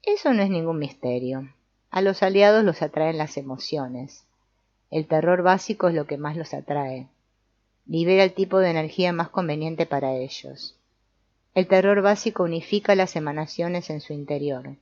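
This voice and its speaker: A low-voiced woman narrates an audiobook in a dull, slightly lo-fi recording. The sound is very dry, with hardly any room around it. She reads in a measured, explanatory manner, as if setting out a lesson from the page. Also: darkish tone, no room sound. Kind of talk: scripted narration, reading a book aloud.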